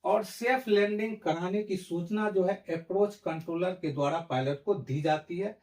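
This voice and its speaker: A man's voice: high-pitched, normal speed, darkish tone. A man giving an explanatory lesson in a didactic, step-by-step way. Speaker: educational presenter. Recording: roomy echo, distant microphone.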